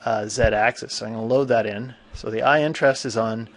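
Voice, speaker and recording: A man with a stuffy cold, teaching a software tutorial in a casual, thinking-aloud way with frequent fillers. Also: medium pitch, steady tone, brisk. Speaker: 3D animation instructor. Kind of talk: screen-recorded software tutorial.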